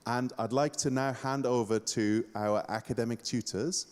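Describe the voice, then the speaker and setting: A man's voice: mid-range voice, fairly even intonation, moderate pace, darkish tone. A man from Austria, a university lecturer, giving a friendly, joking welcome speech. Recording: hall reverb.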